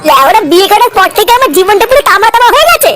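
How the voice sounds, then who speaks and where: A performer voicing squeaky, crude, quarrelsome cartoon villagers in exaggerated comedy dubbing. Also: very animated, very fast, loud music behind the voice. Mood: bitter griping. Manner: crude, scolding, ranting.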